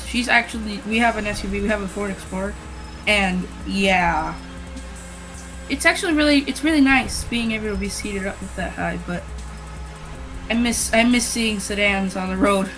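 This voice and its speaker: A woman's voice: medium pitch, moderate pace, over music, low-quality recording. A young woman co-hosting a casual car chat, giving chatty opinions. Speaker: co-host.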